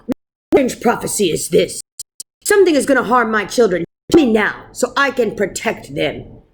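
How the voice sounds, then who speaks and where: A woman's voice: medium pitch, big pitch swings, normal speed, a little hiss or hum. A woman voicing a brash, selfish, impatient male village baker in a storybook, with a blunt and demanding manner. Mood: alarmed.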